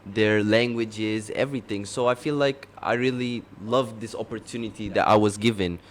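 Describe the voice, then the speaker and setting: A man's voice: lowish voice, fairly even intonation, normal speed, slight background noise. A young man from Egypt co-hosting a podcast, chatting casually in an earnest way. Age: young adult. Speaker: podcast co-host.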